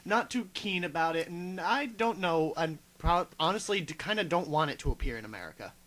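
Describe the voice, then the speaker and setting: A man's voice: fairly high pitch, lively intonation, a little fast, fairly bright tone. A young man on a gaming panel, speaking hesitantly and stopping often to search for words. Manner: hesitant, many ums and restarts. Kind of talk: casual gaming discussion.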